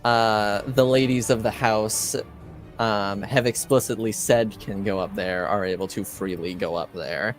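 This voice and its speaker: A man's voice: on the low side, fairly even intonation, measured pace. Man running a tabletop game, faint music. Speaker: game master. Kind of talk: casual explanation and narration of tabletop game.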